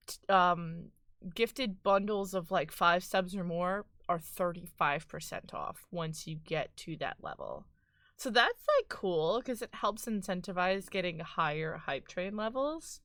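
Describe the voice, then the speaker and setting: A woman streamer who sounds congested and hoarse, chatting casually with her viewers and thanking them one by one. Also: mid-range voice, fairly bright tone, no room sound, studio quality. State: congested, voice worn out. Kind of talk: casual live-stream chat.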